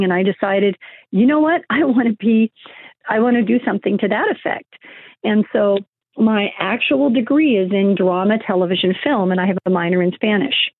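A woman's voice: medium pitch, dry recording. A middle-aged woman talking as an interview guest, rambling with many fillers and restarts. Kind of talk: conversational interview. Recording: tinny phone line.

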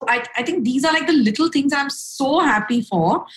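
A young adult woman from India, a podcast guest speaking frankly and upbeat, with a low voice for a woman and casual fillers. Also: animated, brisk. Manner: frank, upbeat, lots of fillers and "right?" tags. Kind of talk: candid conversational storytelling.

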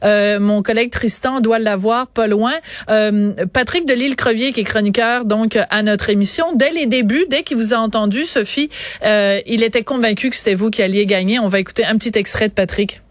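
A woman's voice: fairly high pitch, fairly quick, dry recording. Chatty Québécois woman radio host. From Quebec. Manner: warm, chatty, with frequent fillers. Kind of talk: casual radio interview.

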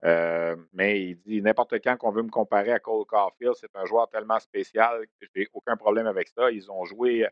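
A man hosts a hockey radio segment in a low voice with plenty of fillers. The sound is dry and slightly muffled. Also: no echo. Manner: conversational, many fillers. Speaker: sports radio host. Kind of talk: hockey news segment and interview.

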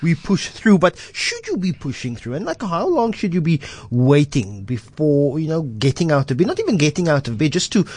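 A man's voice: a little high, sing-song, moderate pace, hardly any pauses, a little mellow. A man hosting a radio talk show in a studio, stumbling and restarting his sentences. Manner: hesitant, many restarts.

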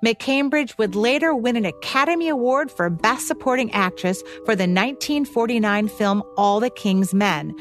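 A woman's voice: fairly high pitch, moderate pace, hardly any pauses, a little hiss or hum. An American woman reading scripted narration as co-host of a radio show.

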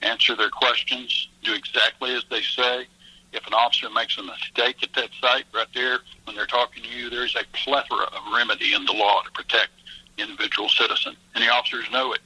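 Man, call-in radio guest, persuasive and emphatic, sharp bright voice, husky. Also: medium pitch, level tone, a little fast, poor audio. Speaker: police association guest. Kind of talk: phone-in radio interview.

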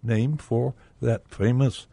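Gravelly, husky man, radio guest. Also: medium pitch, steady tone, slow pace, mellow, no room sound. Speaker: guest, bank director. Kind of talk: radio interview.

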